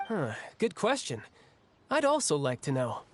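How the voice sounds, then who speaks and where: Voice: mid-range voice, wildly swooping pitch, a little slow, slight background noise. An actor playing an easygoing, protective young adventurer in a video game, talking casually and candidly. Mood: wry, frank. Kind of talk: video game story dialogue.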